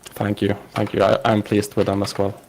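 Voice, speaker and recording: Gravelly Swedish-accented man with a cold. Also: on the low side, completely flat delivery, darkish tone. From Sweden. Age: 30s. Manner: halting, many filler pauses and restarts. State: has a cold. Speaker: podcast guest. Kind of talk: casual interview chat.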